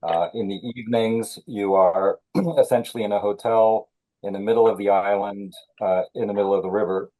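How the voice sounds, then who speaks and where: A man born in Senegal, a thriller author being interviewed, talking in a measured way with many fillers and restarts. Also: lowish voice, dark tone, rough recording. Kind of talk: conversational interview.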